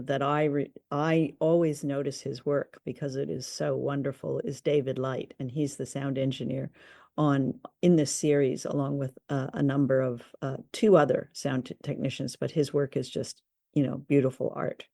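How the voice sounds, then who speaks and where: A woman hosting a conversational commentary show, talking in a halting, appreciative way. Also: very deep voice, gravelly, mellow, dry recording, clean studio sound. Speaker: host and commentator.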